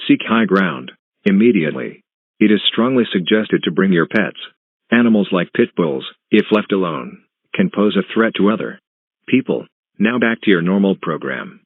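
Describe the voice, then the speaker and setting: A man reading scripted emergency weather warnings as an announcer, in a formal, measured, deliberate way. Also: deep voice, animated, no echo. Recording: narrow, phone-like band.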